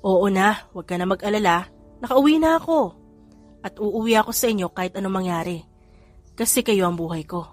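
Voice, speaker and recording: A woman reader voicing a male police general who explains calmly and teases affectionately, with little change from her own voice. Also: medium pitch, a little fast, long pauses, soft background music, natural voice. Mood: teasing.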